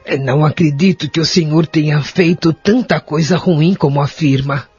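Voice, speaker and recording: A man playing a gentle, wise woman in an audio drama, reassuring and softly preaching. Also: on the high side, moderate pace, slightly rough. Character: gentle, wise woman consoling an elderly friend. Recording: dull lo-fi audio, faint music behind.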